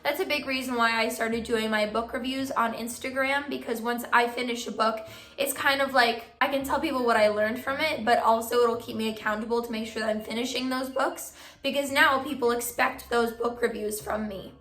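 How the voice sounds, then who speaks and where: A young woman vlogging casually to her viewers, giving friendly reading tips, her voice a bit hoarse from losing it. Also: a little high, steady tone, fairly quick, fairly bright tone, reverberant. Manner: friendly advice-giving, filler "like". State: partly lost voice, hoarse. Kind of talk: casual vlog talk to camera.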